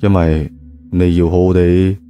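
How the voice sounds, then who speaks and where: A man as a cheeky, low-voiced young charmer. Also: level tone, measured pace, warm tone, smooth. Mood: teasing. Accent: Hong Kong Cantonese. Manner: teasing, nonchalant.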